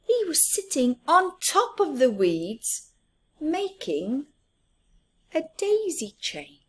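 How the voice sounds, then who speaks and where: A woman's voice: high voice, wildly swooping pitch, slow pace. A woman hosting storytime, reading aloud expressively to young children and adding chatty asides. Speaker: storytime host.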